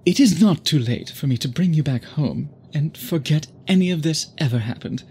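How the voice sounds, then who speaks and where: A man's voice: on the high side, lively intonation, normal speed. Courtly, devoted vampire suitor, acted by a man, background noise. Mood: melancholy, earnest. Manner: formal, polite, gently doting phrasing. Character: obsessive, courtly male vampire suitor, tender and wounded. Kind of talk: intimate ASMR roleplay, scripted acting.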